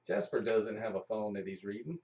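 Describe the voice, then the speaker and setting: A man's voice: on the low side, a little fast, darkish tone. A man hosting a livestream in an informal, jokey, chatty way. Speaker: livestream host. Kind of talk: casual chat. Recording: echoey room, distant mic.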